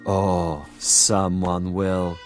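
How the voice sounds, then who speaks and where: An actor playing a smug, cunning commissar and assassin in a vintage television drama, with a pompous, savoring delivery. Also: male voice, low-pitched, flat delivery, very slow, faint music behind. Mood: relishing. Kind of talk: 1960s television drama acting.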